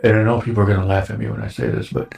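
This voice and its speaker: Low gravelly man in his forties, rambling. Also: expressive, very fast, darkish tone. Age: 40s. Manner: rambling, with many fillers and restarts. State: slightly raspy from breathing dust. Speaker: show host. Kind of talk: casual chat. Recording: roomy echo.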